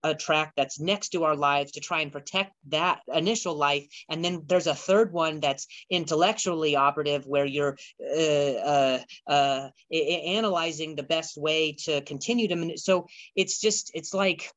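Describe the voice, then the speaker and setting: A man with a bright, forceful voice and a stutter talks as a podcast guest, circling back and restarting his phrases. He sits somewhat high for a man. The sound is close and dry, with almost no room around him. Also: no echo. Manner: halting, many restarts and repeated words. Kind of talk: reflective conversation.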